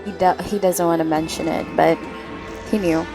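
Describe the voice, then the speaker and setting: A woman livestreaming a game, giving casual, gushing reactions in halting, giggly asides. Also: fairly low pitch, steady tone. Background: game music and dialogue.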